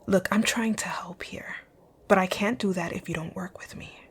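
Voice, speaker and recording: A woman playing a rich, dominant boss in an ASMR roleplay, speaking in a curt, commanding way with a soft, breathy, husky voice. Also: on the low side, fairly even intonation, moderate pace, natural voice. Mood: softening, concerned. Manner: curt, commanding, breathy, often near a whisper. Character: rich, rude, dominant female boss, stern but secretly caring. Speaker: audio roleplay voice actor.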